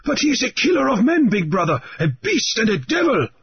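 A fierce, excitable island man who pleads in a high, strained voice, as a male narrator puts him on. The voice is husky and the lo-fi recording sounds a little dull. Each line comes out pushed and urgent. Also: brisk, heavily acted.

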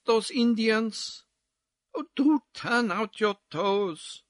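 A man's voice: high voice, a bit bright, no room sound, studio quality, voice pushed up. A man voicing a flirtatious, flighty society lady chattering at a dance in a storybook reading, exclamatory and affected. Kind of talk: theatrical storybook character voice.

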